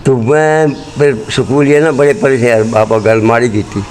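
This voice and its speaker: An elderly man with a Haryanvi accent reminisces in an interview, speaking at a middling pitch. His telling is blunt, earthy and rambling. The location sound is clearly noisy. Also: fairly even intonation, unhurried, a little mellow. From Rohtak, Haryana, pre-partition India. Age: 80s or older. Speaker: interviewee, partition migrant.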